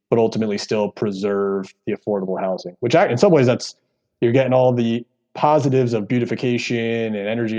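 An American man with a deep voice giving earnest, explanatory interview answers as a podcast guest, with frequent asides. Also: darkish tone, lo-fi sound. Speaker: podcast guest, real estate executive.

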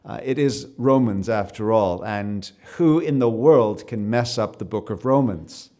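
A man's voice: fairly low pitch, unhurried. Canadian man preaching with fillers. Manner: conversational, with frequent fillers and repeated words; builds to emphatic, louder stresses. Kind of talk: sermon to a live audience.

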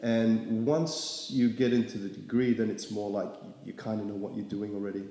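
Relaxed young man in an echoey room. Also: lowish voice, moderate pace. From Singapore. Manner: relaxed, rambling, many fillers. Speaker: artist guest. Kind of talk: casual interview. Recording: echoey room with long reverb.

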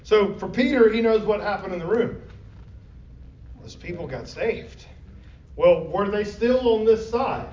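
A man's voice: high voice, expressive, a little slow, long pauses, darkish tone. A man teaching a Bible study class, talking conversationally and often asking the group questions. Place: classroom or church meeting room. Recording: roomy, distant, dull lo-fi with faint noise.

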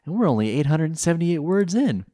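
A smug, drawling young man , put on by a male reader, who talks down with lofty calm. The voice sits raised above the reader's own. The recording is dry and close. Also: a little high, a little fast, no echo, pitched above the performer's own voice. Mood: weary. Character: smug suave young male gamer rival.

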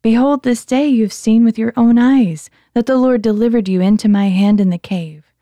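A woman's voice: on the high side, steady tone, moderate pace, dark tone, no room sound, clean studio sound, voice pushed up, lightly acted. A woman reading a pleading young outlaw. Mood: earnest pleading.

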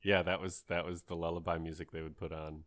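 Male co-host, thirties, low-pitched voice, casual gaming podcast conversation. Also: flat delivery, a little fast, fairly bright tone. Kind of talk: casual chat about video games.

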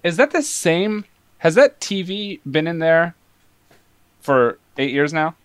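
Laid-back deadpan male podcast host. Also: on the high side, takes it slowly, clear, resonant tone, no echo. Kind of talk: casual chat.

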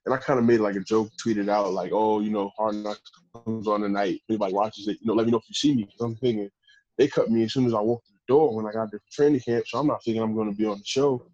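A man, a professional football player, telling stories in a casual interview with a deep voice and a loose, rambling way of talking. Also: steady tone, fast, a little mellow, dry recording, lo-fi sound. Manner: laid-back, rambling with restarts. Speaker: pro football player guest.

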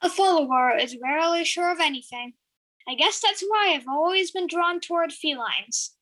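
A woman's voice: very high-pitched, expressive, moderate pace, a bit bright. A young woman acting in an audio drama as an eccentric, chatty, oblivious older lady who loves cats, in an airy, musing, theatrical manner. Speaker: student performer.

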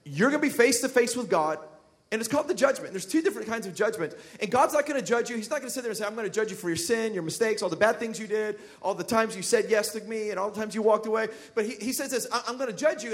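High-voiced male pastor preaching. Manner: conversational, jokey, builds to shouting emphasis. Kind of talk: sermon. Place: church sanctuary.

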